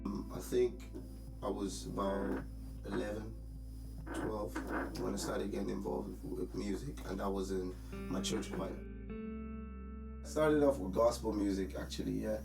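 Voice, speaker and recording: A young man from Nigeria, a musician, talking about his music in a relaxed, halting way with many pauses, over a music bed in a roomy space. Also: on the low side, creaky. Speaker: musician interviewee. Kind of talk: casual interview talk. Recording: roomy sound with music behind.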